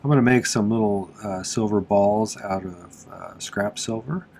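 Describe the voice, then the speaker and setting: A low-pitched man speaks in an easygoing, conversational way, walking viewers through a workshop project step by step. His voice is a little stuffy and nasal from congestion, and he fills his pauses with "uh." A faint room hum sits underneath. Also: gravelly. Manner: relaxed and chatty, with frequent "uh" fillers and small laughs. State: congested from allergies, slightly nasal. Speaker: silversmith teaching on his channel. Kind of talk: casual how-to narration.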